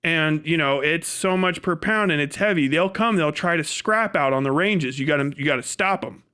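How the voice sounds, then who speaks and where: A man's voice: on the high side, normal speed, bright, forceful tone, clear, resonant tone. An American man live streaming, telling rambling, casual stories in profane, slangy language with comic exaggeration. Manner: breaks into laughter at own stories.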